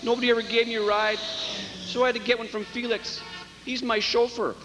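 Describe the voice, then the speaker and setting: A man's voice: extremely high pitch, little pitch movement, fairly quick, heavy background noise. A man playing a whiny, earnest rich young boy, plaintive and gee-whiz, in a comic radio drama. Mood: wistful. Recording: hall reverb, music behind.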